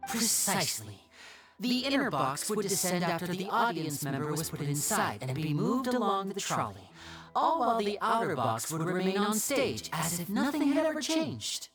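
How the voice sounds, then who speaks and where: An actress playing a poised, courteous stage magician in a video game, explaining patiently and theatrically with music behind. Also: female voice, lowish voice, big pitch swings. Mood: explanatory, composed. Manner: polite, measured, theatrical explaining. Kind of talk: video game voice acting, expository dialogue. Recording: music under the voice.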